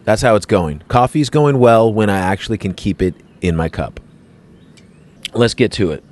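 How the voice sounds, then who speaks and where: A man's voice: on the low side, animated, normal speed, a little mellow. A man hosting his own podcast, talking in a loose, rambling, self-mocking way. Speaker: podcast host. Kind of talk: casual podcast chat.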